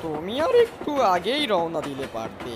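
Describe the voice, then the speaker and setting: A man's voice: huge pitch swings, normal speed. A man playing a kindly, cautious old village uncle in a cartoon, with a fatherly, advising manner. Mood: cautioning. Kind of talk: cartoon voice acting. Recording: noisy, with train rumble behind.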